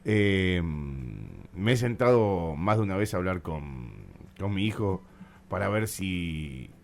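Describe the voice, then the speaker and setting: A man's voice: low voice, very animated, takes it slowly, gravelly. A man with an Argentine accent hosting a casual radio chat, often repeating his words for emphasis and agreement. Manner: repeats words, eager backchannelling. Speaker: radio host.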